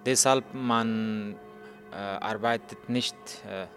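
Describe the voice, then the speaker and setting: A man, telling his story as a journalist in halting speech full of fillers and restarts. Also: lowish voice, steady tone, a bit bright, faint music behind. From Afghanistan. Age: 30s. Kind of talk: hesitant interview answers in learner German.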